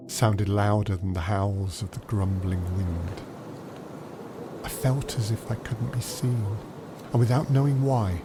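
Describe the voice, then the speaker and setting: Slow, low haunted storyteller played by a man. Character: haunted first-person narrator of a dark gothic tale. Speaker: voice actor narrating a story. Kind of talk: dramatic audio story narration. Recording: music playing under the voice.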